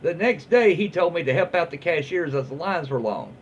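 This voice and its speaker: A man's voice: on the high side, lively intonation, fairly quick. A man with a Southern US accent reading stories aloud in a casual, folksy, informal way as a host. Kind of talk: casual read-aloud storytelling. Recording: muffled, lo-fi with faint background noise.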